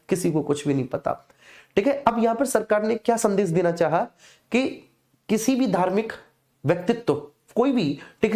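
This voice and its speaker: A woman teacher explains points to her class in an informal, didactic way. Her voice sits a little low and has a dark, relaxed tone. She repeats key phrases and keeps checking that students understand. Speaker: exam coaching teacher.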